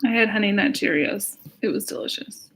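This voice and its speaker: A woman's voice: mid-range voice, fairly quick, a bit bright, low-quality recording. An American woman, a pastor leading a casual livestream storytime, chatting to children and asking them simple questions. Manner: chatty, asks young listeners simple questions.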